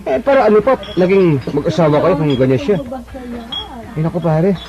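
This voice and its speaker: A man's voice: a little high, sing-song, a little fast. An actor playing a blunt, indignant male friend in a Filipino radio drama, emphatic and colloquial. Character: blunt, indignant male buddy giving marriage advice. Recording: dull radio recording, prominent music behind.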